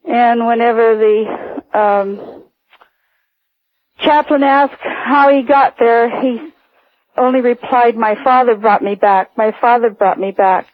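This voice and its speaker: An older woman calling a radio show by phone, recounting personal stories in a hesitant, halting way. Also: on the high side, no echo. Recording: narrow tinny phone line.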